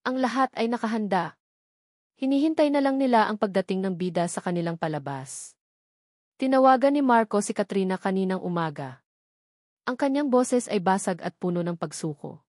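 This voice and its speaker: A woman's voice: medium pitch, animated, moderate pace, a little mellow, no echo. Female narrator, Filipino accent, mid-range voice, dramatic scripted storytelling, very dry recording.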